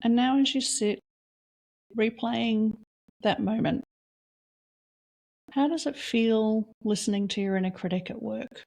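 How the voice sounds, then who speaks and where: Slow, gentle woman guiding a reflection. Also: fairly high pitch, fairly even intonation, gravelly. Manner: slow, soft-spoken questions with long pauses. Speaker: meditation guide. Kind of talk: guided reflection exercise.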